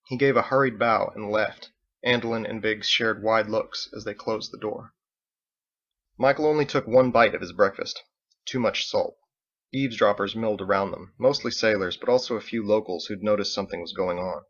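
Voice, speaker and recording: A man narrates a written story in a fairly low voice. He reads as the author, in scripted storytelling style. The recording is a bit dull and lo-fi. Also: normal speed, a bit bright.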